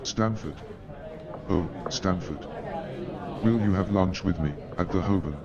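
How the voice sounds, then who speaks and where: Man acting as deep-voiced, hearty Victorian Watson. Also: measured pace. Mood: exuberant. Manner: exuberant, hearty. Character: Watson, lonely, eager ex-army man in Victorian London. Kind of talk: comic staged radio play acting. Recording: dull band-limited sound, crowd murmur behind.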